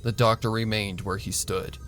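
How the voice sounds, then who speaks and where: A man reading a story aloud as narrator, in a measured storytelling manner. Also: on the low side, faint music behind. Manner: measured storytelling, voicing a flat doctor in quotes. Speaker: narrator and reader. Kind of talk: audiobook narration of fan fiction.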